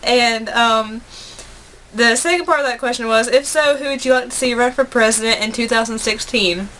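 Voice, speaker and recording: High-voiced young American woman, noisy recording. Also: moderate pace, fairly bright tone. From United States. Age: 20s. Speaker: video blogger answering viewer questions. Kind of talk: casual chat to camera.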